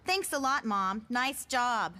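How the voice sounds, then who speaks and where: An actress playing a sassy, dramatic fourteen-year-old girl in a motion comic, with an exasperated, expressive delivery. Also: fairly high pitch, slow, exaggerated voice. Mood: exasperated, sulky. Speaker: voice actor.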